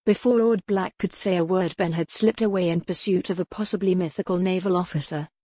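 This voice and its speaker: A woman's voice: lowish voice, fairly even intonation, a little fast, a little mellow, no room sound. A woman reading scripted narration from a historical romance novel as an audiobook narrator.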